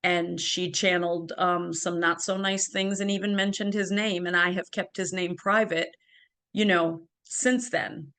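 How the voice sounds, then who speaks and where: A woman's voice: on the low side, fairly even intonation, unhurried, fairly bright tone. A woman guest telling her personal story in an interview, earnest and full of ums and restarts, on a video call from home. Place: home, by a ring light.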